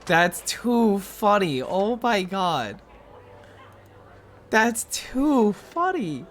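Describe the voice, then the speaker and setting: A man streaming a game live, chatting casually, excitable and laughing often, loud when he gets excited. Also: high voice, very slow, faint music behind. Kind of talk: casual livestream chat while gaming.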